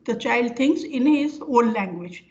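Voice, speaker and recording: An older woman with an Indian accent giving an informal academic lecture over a video call, speaking haltingly as she explains with examples. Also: on the high side, expressive, darkish tone. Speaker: professor giving an online lecture. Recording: dull, lo-fi online call audio.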